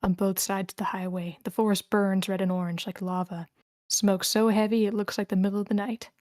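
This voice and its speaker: A woman's voice: mid-range voice, little pitch movement, brisk, a little mellow, dry recording, studio quality. A woman reading the stage directions of a screenplay as scripted narration at a table read.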